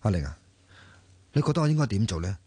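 A man's voice: fairly low pitch, very animated, fast talker, mellow, breathy edge, dry recording. An actor with a Hong Kong Cantonese accent playing an honest, hesitant, deferential man in a radio drama. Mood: unsure, consulting. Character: honest, hesitant man fronting as company chairman.

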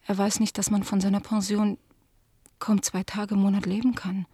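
An actress playing an ashamed, pleading middle-aged daughter in a radio drama, confessing in a hushed voice. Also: female voice, medium pitch, little pitch movement, moderate pace, husky, dry recording, clean studio sound, lightly acted. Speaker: the collector's daughter.

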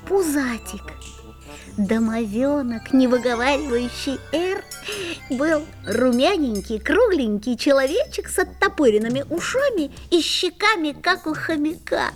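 A woman's voice: high voice, animated, unhurried, faint music behind. A woman playing a young boy who narrates his own adventure in a storybook audio play. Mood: amused.

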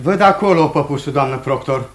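A man acts an officious court clerk in a radio drama. He has a high voice and accuses insistently, with dramatic weight. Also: lightly acted. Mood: grave, insinuating. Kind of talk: Romanian radio theatre acting.

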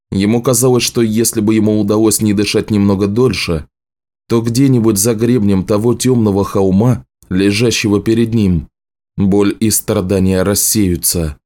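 Male narrator for literary fiction: low, soft-edged, dark tone, measured and serious. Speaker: audiobook narrator.

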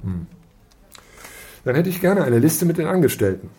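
An actor playing an impatient, pushy police detective, sarcastic and demanding, in a naturalistic radio crime drama. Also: male voice, a little high, big pitch swings, normal speed, mellow.

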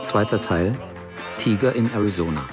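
Tiefer männlicher Hörspiel-Ansager über Musik. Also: male voice, lowish voice, normal speed. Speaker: announcer. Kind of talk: radio play title announcement. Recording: loud music behind the voice.

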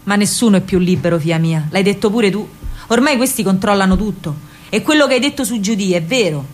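A woman playing a disillusioned, fatherly older Roman man in a radio monologue, speaking gravely and deliberately in a hushed way with a Roman accent. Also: medium pitch, animated, natural voice. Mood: resigned.

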